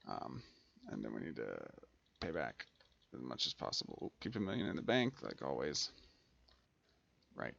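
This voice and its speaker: A man's voice: fairly low pitch, vocal fry, bright, forceful tone, muffled recording. A man giving casual let's play commentary as a gaming host, thinking aloud and muttering to himself.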